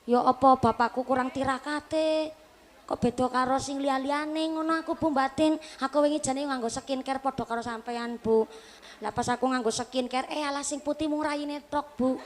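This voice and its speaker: A woman's voice: sits high, a little hiss or hum. A woman in her late twenties with a Javanese accent, a preacher giving a humorous religious talk to a live audience, chatty and joking as she turns to the listeners again and again. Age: late 20s. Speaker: Islamic preacher.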